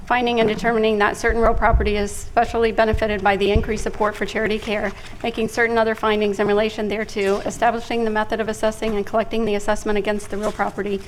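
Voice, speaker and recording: A woman clerk reads a long legal resolution very fast in a flat, even tone. The reading is formal and unbroken, with faint room noise behind her. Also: mid-range voice, poor audio. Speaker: city clerk. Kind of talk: reading a resolution title aloud.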